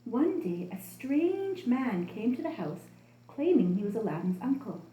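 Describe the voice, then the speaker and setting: A woman telling a children's story as an expressive storybook narrator. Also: fairly high pitch, measured pace, slight background noise. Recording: roomy, echoing room sound.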